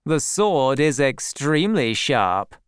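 Reader playing a male soldier, bold, smooth-talking, assured, slow and lively, storybook rogue. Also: medium pitch, dry recording, clean studio sound. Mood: confident, teasing.

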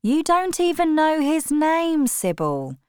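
A woman's voice: high-pitched, very animated, slow pace, a little mellow, dry recording, studio quality, voice pushed up, full character voice. A woman reading the part of an anxious, tired mother in a storybook. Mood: worried. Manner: anxious, pleading.

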